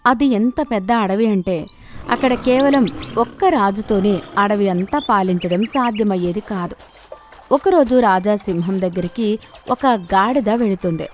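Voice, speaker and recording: A woman's voice: medium pitch, unhurried. Woman storyteller, music playing behind. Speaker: storyteller, narrator. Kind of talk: scripted children's story narration.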